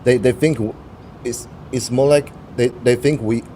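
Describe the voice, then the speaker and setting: A man's voice: mid-range voice, fairly even intonation, warm tone, a little hiss or hum. A young Taiwanese man whose first language is Mandarin, answering interview questions in English and stopping often to restart his words. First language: Mandarin Chinese. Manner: halting, searching for words with frequent restarts.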